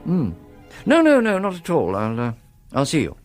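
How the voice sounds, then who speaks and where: Middle-aged British academic, radio play, wry, hesitant, courteous, very animated pitch. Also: male voice, normal speed, faint music behind. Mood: affable, flustered. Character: genteel, wry middle-aged male academic declining a contest. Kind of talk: British radio drama acting.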